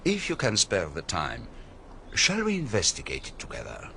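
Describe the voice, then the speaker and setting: An actor playing a courteous, methodical foreign detective in a radio drama, deliberate and hesitant as he probes with polite questions. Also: low-pitched, wildly swooping pitch, creaky, background noise, heavily acted. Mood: curious, musing.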